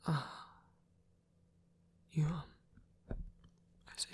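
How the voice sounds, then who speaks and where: A performer voicing a gentle, devoted male vampire priest in an intimate ASMR roleplay, speaking in a breathy, hesitant murmur with formal wording. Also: very slow. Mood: hesitant, earnest. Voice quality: breathy, whispery. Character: soft-spoken, tender male vampire priest, earnest and devoted. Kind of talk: intimate ASMR roleplay, scripted romantic audio drama. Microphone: close, dry microphone.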